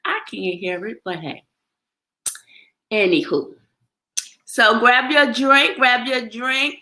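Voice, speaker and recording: Slow, bright New York woman host. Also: fairly high pitch. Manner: drawn-out, upbeat greetings. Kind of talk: casual morning livestream chat.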